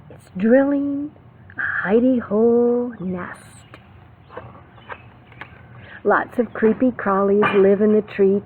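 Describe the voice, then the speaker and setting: A woman preschool teacher reading a picture book aloud to young children, expressive, with simple explanations and questions. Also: a little high. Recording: phone-like narrow band, faint background noise.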